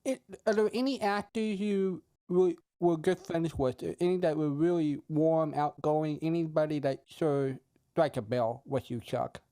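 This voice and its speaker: A man's voice: a little high, normal speed, mellow. A man interviewing someone, putting casual, conversational questions to his guest.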